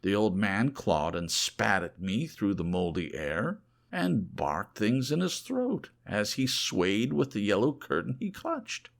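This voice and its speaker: A man narrating a horror audiobook in a theatrical, deliberate, scripted manner. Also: on the low side, sing-song, vocal fry.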